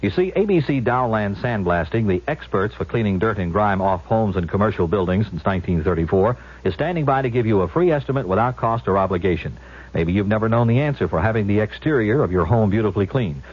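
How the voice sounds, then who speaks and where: Male radio pitchman, low husky voice, brisk delivery, muffled broadcast. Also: expressive. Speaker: commercial announcer. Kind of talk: scripted radio advertisement.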